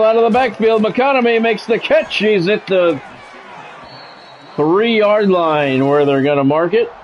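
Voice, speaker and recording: A man streaming a sports video game, switching between play-by-play commentary and casual chat. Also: on the high side. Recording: dull lo-fi audio, music behind.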